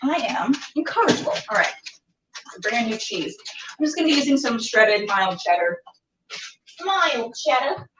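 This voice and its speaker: A woman's voice: a little high, big pitch swings, normal speed, pauses often, a bit bright, slight background noise. A woman chatting casually and playfully to camera as a cooking show host, rambling and talking to herself as she goes. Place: indoors while cooking, live stream. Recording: roomy, distant, dull camera sound.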